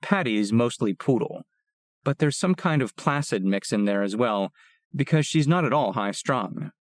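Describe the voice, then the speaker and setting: A man reading a first-person audiobook in a steady, plain, measured way. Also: medium pitch, dry recording. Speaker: audiobook narrator.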